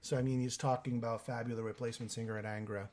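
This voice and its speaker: Host, male, hoarse from a cold, reading viewer comments on a live stream, halting delivery, mid pitch. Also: little pitch movement, darkish tone. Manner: halting, thinking aloud, many fillers. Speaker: livestream host.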